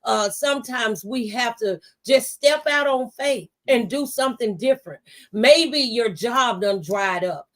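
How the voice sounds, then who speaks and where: A middle-aged woman with a fairly high voice speaks like a conversational preacher. She is emphatic and exhorting, driving her encouragement home with repeated phrases. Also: a little slow. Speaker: talk show panelist giving testimony. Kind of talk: motivational faith testimony, preaching conversationally.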